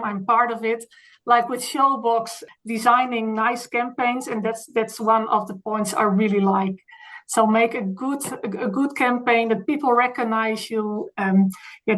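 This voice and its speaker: A woman with a Dutch accent, a native Dutch speaker, answering interview questions conversationally in halting, non-native English phrasing. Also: on the high side, fairly bright tone, low-quality recording. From Netherlands, Friesland. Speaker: interview guest, dairy marketing salesperson.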